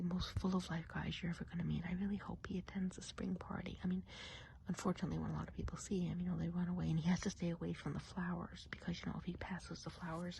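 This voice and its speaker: A woman's voice: lowish voice, vocal fry, warm tone, a little hiss or hum. A woman whispering an ASMR roleplay as a friendly woodland witch, chatting in a rambling, confiding, gossipy way. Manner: whispered, rambling, confiding, with casual filler-laden asides.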